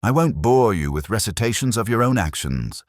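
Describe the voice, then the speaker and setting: A man plays a composed woman in an audiobook story, smooth and exacting as she confronts wrongdoers. The voice stays low, with measured words and rising and falling emphasis in a dry recording. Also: big pitch swings, no room sound. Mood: controlled accusation.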